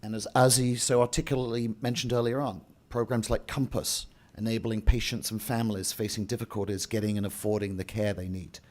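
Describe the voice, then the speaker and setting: A man's voice: on the low side, level tone, fairly quick, few pauses, a little mellow. A man delivers a prepared speech from a podium at a banquet, reading steadily and adding the odd offhand aside. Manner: reads a script with occasional asides and restarts. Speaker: event speaker and emcee. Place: large banquet hall.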